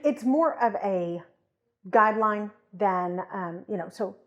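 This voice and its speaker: A woman co-hosts a podcast in a medium-pitched voice. She explains in a conversational, emphatic way, slipping into imagined quotes to illustrate her points. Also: slow pace. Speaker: podcast co-host. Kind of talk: conversational explanation with hypothetical examples.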